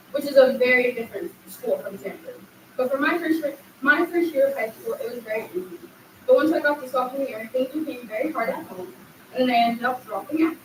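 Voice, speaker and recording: High young woman, echoey hall. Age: young adult. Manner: earnest and heartfelt, reading aloud. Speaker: graduating student giving a speech. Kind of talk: prepared graduation speech. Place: large echoey hall at a graduation ceremony. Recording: echoey hall, distant mic, faint noise.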